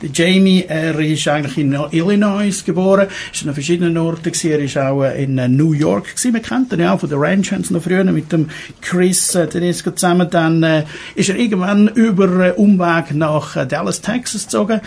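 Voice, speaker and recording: A man's voice: sits high, fast, gravelly, darkish tone, husky. A man with a Swiss German accent, a native German speaker, hosting a bilingual radio music interview in a studio. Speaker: radio host.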